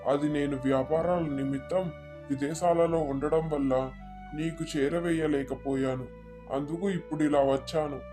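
A man's voice: on the high side, steady tone, moderate pace, mellow, soft background music, natural voice. A man playing a helpful male ghost who poses as a kindly uncle in a moral story. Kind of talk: scripted moral story dialogue.

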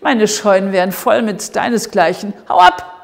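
A woman's voice: medium pitch, animated, normal speed, vocal fry. Woman playing a blustering rich farmer in an echoing hall. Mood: scornful, gruff. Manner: blustering, stammering when flustered. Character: rude, greedy rich farmer, grown man, excitable. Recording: echoey hall with long reverb.

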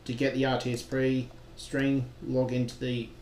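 A man narrating a software tutorial as a trainer, giving plain step-by-step instructions in a roomy recording with some noise. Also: medium pitch, flat delivery, moderate pace. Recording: roomy echo with background noise.

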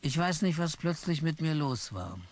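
A man's voice: a little high, sing-song, moderate pace. A man narrating in first person as a weary office clerk in a German radio play. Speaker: narrator and lead.

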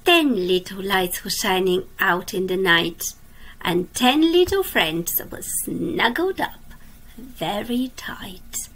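Slow, gentle woman reading a storybook. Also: medium pitch, animated, low-quality recording. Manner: slow, gentle, drops to a soft whisper at line ends; asks the young listeners questions. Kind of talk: children's storytime read-aloud. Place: indoors at a computer.

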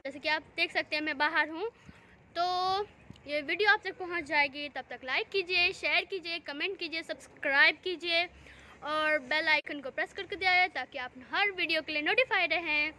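A young woman vlogging with a phone held at arm's length, walking through a mall and then out onto a night street. She speaks simple, halting English and fluent, quick Hindi. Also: extremely high pitch, bright, forceful tone, slight background noise. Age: young adult. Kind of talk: casual walking vlog. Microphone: phone held at arm's length, wired earphone mic.

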